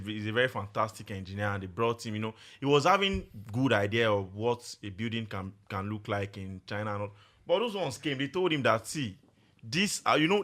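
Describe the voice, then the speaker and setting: A young man co-hosting a casual podcast chat, talking haltingly with frequent restarts and repeated words. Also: medium pitch, pushed and bright. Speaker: podcast co-host.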